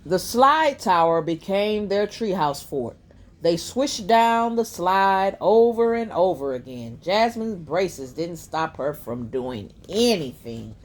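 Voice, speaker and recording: A woman reading a children's picture book aloud as a bedtime story, in a deliberate storytelling manner. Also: on the low side, sing-song.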